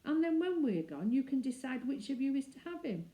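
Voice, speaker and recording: A woman voicing a bossy, quick-thinking Edwardian schoolboy in a storybook, with slangy, emphatic delivery. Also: high-pitched, very animated, dark tone, pitched above the performer's own voice. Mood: calm, scheming. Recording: roomy, echoing indoor sound.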